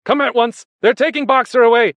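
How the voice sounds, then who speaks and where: Frantic high-pitched shouting donkey. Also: male voice, fairly even intonation, brisk, no echo, dull audio. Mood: frantic, angry. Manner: shouting, agitated, urgent. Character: frantic male donkey, urgently warning the other animals.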